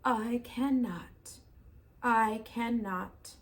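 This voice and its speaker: A worn-out, rusty old engine from a children's story, voiced by a woman, who speaks slowly and with sighs. Each line trails off quietly, as if he can barely keep going. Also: fairly high pitch. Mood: weary. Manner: sighing, slow, fading to a whisper.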